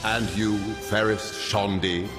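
An actor voicing a grand, courtly male raptor king in a game cutscene, speaking formally with booming proclamations. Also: flat delivery, slow pace, over music. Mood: regal.

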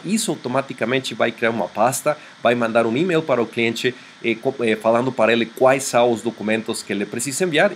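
Thirties Mexican man, Spanish accent, low voice, earnest founder pitching over screen share with lots of fillers, laptop mic, faint room hum. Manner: earnest, hesitant with frequent fillers. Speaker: startup founder presenting a product demo. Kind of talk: screen-share sales demo. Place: small room indoors.

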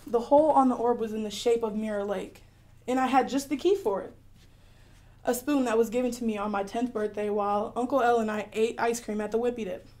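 High-voiced adventurous young heroine played by a woman. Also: steady tone, fairly quick, warm tone, lightly acted. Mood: excited, wondering. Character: adventurous, clever girl heroine telling her story. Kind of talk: first-person radio drama narration.

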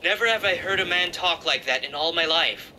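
An eager young fisherman pours out his news, breathless and lifted well above the narrator's own pitch. A man voices him in a storybook reading. A steady hiss sits under the whole recording. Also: a little fast, rough recording. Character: young excited male fisherman disciple.

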